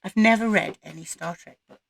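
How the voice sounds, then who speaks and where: A woman with a croaky voice, recovering from voice loss, chatting casually to camera as the host of a book channel. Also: lowish voice, brisk, no room sound, poor audio. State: croaky, recovering from losing her voice. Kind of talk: casual chat to camera about reading plans.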